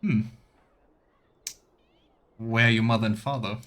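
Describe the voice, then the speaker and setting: A man's voice: lowish voice, steady tone, measured pace, fairly bright tone. A man playing through a fantasy game as a streamer, reading dialogue aloud and commenting casually. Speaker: streamer, let's player.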